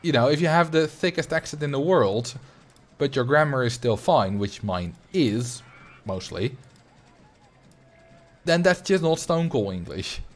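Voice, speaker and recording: A man with a Dutch accent talks casually as a game streamer. His pitch moves around a lot in a mid-range voice, and his delivery is conversational and rambling, in fluent English shaped by his native Dutch. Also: expressive, measured pace. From Netherlands, grew up in Drenthe. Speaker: video game livestreamer.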